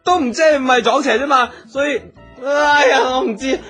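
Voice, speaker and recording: A man's voice: very high voice, moderate pace. A man playing a timid, childlike young performer in a Hong Kong Cantonese radio drama, whining and anxious. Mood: panicky whining. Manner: whining, anxious, babyish protesting. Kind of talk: festive radio drama acting. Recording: music under the voice.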